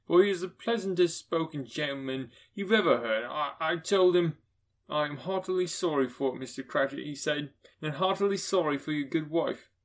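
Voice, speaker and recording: A man voicing a kind, cheerful poor clerk who is a grieving father, speaking gently and haltingly. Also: on the high side, pitched above the performer's own voice, natural voice. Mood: earnest.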